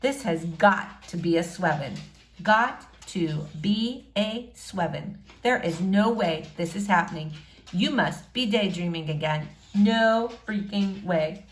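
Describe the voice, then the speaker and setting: A woman voicing an awkward, sardonic schoolboy in a storybook reading, hesitant and deadpan. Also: on the low side, slow pace. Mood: awkward, stunned.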